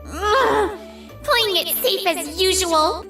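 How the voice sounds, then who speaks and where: A woman's voice: very high voice, expressive, extremely slow, bright, forceful tone, soft background music, exaggerated voice. A woman playing an earnest, outspoken young female office worker in an anime-style manga dub. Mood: insistent.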